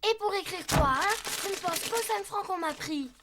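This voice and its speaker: A woman playing a spirited, mischievous and expressive little girl in a French cartoon. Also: very high voice, normal speed, heavy background noise. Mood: sulky. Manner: expressive, pleading and protesting. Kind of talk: French animated series dubbing.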